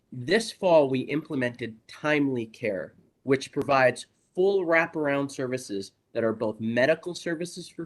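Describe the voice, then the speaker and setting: A hoarse man, a college administrator, gives an earnest, measured presentation to a board over a video call. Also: medium pitch. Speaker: college administrator giving a report. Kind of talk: formal presentation at a board meeting.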